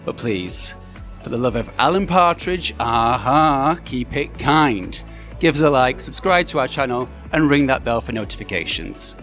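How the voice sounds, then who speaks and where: A male presenter delivers scripted lines to viewers in a chirpy, polished manner. His pitch is average, and faint music sits underneath. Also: lively intonation, moderate pace, a bit bright. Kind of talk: scripted video sign-off.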